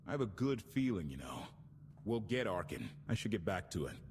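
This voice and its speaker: Casting: wary, loyal game crew captain, emphatic everyday delivery, lowish register. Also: male voice, very animated, a little fast, noisy background. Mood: sheepish, determined. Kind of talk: video game cutscene acting.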